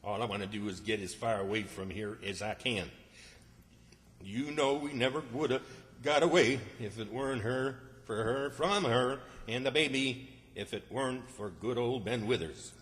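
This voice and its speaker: A man's voice: medium pitch, moderate pace, a bit bright, natural voice. Man playing a blustering rustic fellow in an echoey hall. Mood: bossy. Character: exasperated, bossy small-town man, rustic and blustering. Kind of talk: old-time radio comedy acting by amateur players. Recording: echoey hall with long reverb.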